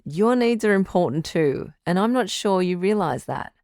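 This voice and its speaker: A woman playing a calm, firm relationship advice doctor hosting a radio call-in drama, measured and probing. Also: lowish voice, dry recording, studio quality. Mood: firm, supportive.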